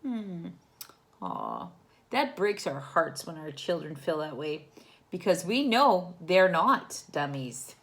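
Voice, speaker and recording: Slow, expressive woman teacher reading to children. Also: lowish voice. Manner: expressive, encouraging, addresses children directly with questions. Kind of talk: read-aloud storytime for young children.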